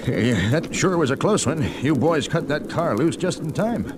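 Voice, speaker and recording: A plain-spoken western rancher, played by a male actor, with a fairly high voice. His talk is rustic and folksy, in the manner of vintage radio drama. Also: expressive, normal speed, heavy background noise, lightly acted. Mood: puzzled. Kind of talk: old-time radio western acting.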